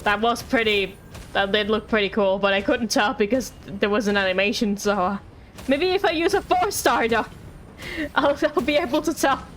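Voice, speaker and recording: A woman's voice: a little high, moderate pace, slight background noise. A woman streamer giving casual game commentary in a playful, pleading and apologetic way. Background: game music.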